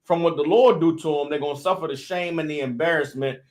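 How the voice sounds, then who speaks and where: A man's voice: fairly high pitch, fast. A man giving loose, impassioned religious commentary, full of "you know what I'm saying" as his intensity builds. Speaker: member of the group adding commentary.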